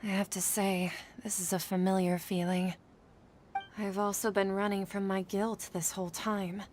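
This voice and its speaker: A woman's voice: mid-range voice, little pitch movement, moderate pace, darkish tone, a little hiss or hum, natural voice. A woman playing an earnest, guilt-ridden female scholar in a video game, careful and a little hesitant in her speech. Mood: remorseful, weary.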